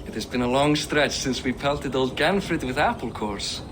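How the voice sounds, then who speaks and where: A man's voice: mid-range voice, expressive, normal speed, background noise, close to an everyday voice. An actor playing a boisterous, proud middle-aged Viking king in a video game cinematic, hearty and boastful as he declaims. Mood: genial.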